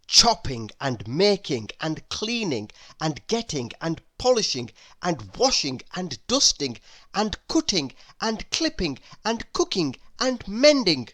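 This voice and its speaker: A woman narrating a children's storybook aloud in an expressive, dramatic and deliberate way. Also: on the low side.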